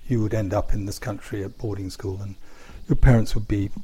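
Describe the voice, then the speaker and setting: A man's voice: on the low side, fairly even intonation, brisk, warm tone, husky. A man interviewing a guest, asking long rambling questions with frequent hesitations and restarts.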